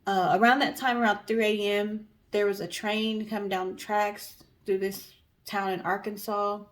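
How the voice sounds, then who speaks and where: A woman's voice: medium pitch, steady tone, normal speed. A woman co-hosting a storytelling show, talking in a casual, conversational way with chatty explanatory asides. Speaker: co-host telling stories in a guessing-game show.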